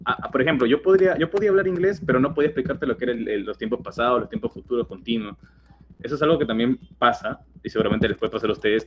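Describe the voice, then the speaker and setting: A teenage man with a Peruvian accent explains things at length, in a low voice that often breaks into fillers and restarts. A faint hiss runs under the recording. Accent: Peruvian Spanish. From Peru. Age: teens. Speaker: guest speaker. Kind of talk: webinar talk.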